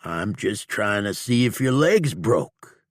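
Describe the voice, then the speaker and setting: A man voicing a cool, taunting male bounty hunter with a slow drawl in an audiobook. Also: on the low side, big pitch swings, no echo, studio quality, voice pushed up. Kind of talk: audiobook character voice.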